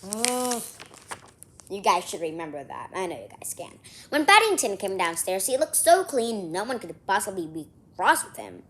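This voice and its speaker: A woman's voice: medium pitch, very animated, moderate pace, a bit bright, a little hiss or hum. A woman reading a children's story aloud expressively, with casual, chatty asides to her listeners. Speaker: storybook reader.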